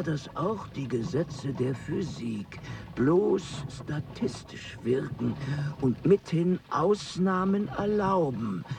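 A man acting a grumpy, arrogant aging mathematician in a radio play, gruff and scornful. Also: fairly high pitch, recorded from a distance, background noise, full character voice.

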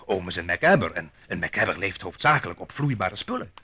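A man playing an excitable, earnest and argumentative young amateur sleuth in a radio drama. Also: low voice, fast, slightly rough, heavily acted. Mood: earnest, deducing. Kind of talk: Dutch radio drama acting.

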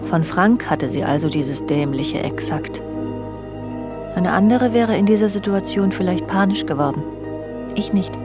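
A woman's voice: lowish voice, expressive, a little slow. An actress playing an introspective, bitterly observant woman narrating her youth in a radio drama, sober and intimate. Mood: detached, bitter. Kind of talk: literary first-person radio drama narration. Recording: music behind the voice. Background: music.

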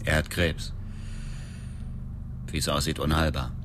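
An actor playing a grim, obsessed middle-aged widower with a gravelly voice, brooding and terse in a radio drama. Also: male voice, rumbling low, flat delivery, unhurried, background noise. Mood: cold, curt. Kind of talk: radio drama narration and dialogue.

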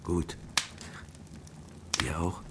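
An actor playing a worn-out veteran soldier in a radio drama, speaking in a laconic, subdued way. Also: male voice, drawn-out words, very noisy. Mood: quiet, warm. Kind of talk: hushed radio drama acting.

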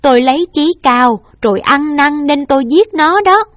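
A woman's voice: high-pitched, expressive, normal speed, no echo, studio quality, pitched above the performer's own voice. A woman playing a desperate middle-aged mother. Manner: desperate, pleading. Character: distraught middle-aged mother protecting her daughter.

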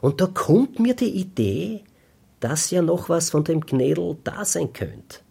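An actor playing a shifty, husky-voiced petty thief who rambles and pleads his innocence in a radio drama. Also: fairly high pitch, sing-song, unhurried, mellow, full character voice. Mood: defensive, pleading. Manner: evasive, pleading, rambling confession.

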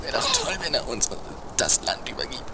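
A man's voice: mid-range voice, huge pitch swings, moderate pace. A man playing a greedy, scheming, squabbling adventurer in an improvised comedy radio play, theatrical, accusing and pompous. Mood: conspiratorial. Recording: very noisy amateur 1980s recording.

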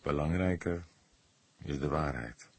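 A weary old police detective, voiced by a male narrator for a crime audiobook. His voice is low and husky, and he speaks deliberately and thoughtfully with a dry, sarcastic undertone. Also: heavily acted. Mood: soft, weary. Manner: slow, measured, deliberate, soft-spoken.

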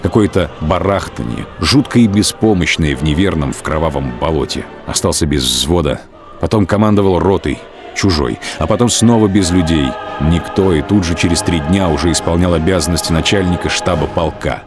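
A man with a very deep voice narrates a radio play in a grave, measured way. His storytelling stays steady and even. Soft music runs faintly underneath.

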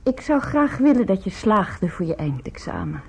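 Husky, wistful ailing mother. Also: medium pitch, sing-song, moderate pace, full character voice. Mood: wistful, weary. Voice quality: husky, breathy. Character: ailing, wistful middle-aged mother of a student son. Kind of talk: radio drama acting.

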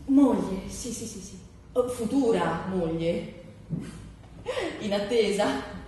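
A woman's voice: medium pitch, lively intonation, slow, dark tone, voice pushed down. A woman playing an over-agreeable, desperate job applicant in her thirties, gushing and repetitive in a theatrical satirical monologue. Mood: yearning. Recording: very echoey stage, distant mic, faint noise.

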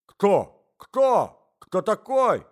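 An agitated old gentleman, acted out by a male reader in a storybook reading. He blusters and shouts in a raised pitch, swooping widely as he repeats his indignant demands. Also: big pitch swings, normal speed. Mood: panicked shouting. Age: older.

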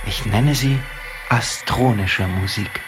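A man playing an ecstatic post-human more than a hundred years old, speaking a measured, declamatory radio monologue. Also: lowish voice. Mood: visionary, assured. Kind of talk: scripted radio play monologue. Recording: voice over electronic music.

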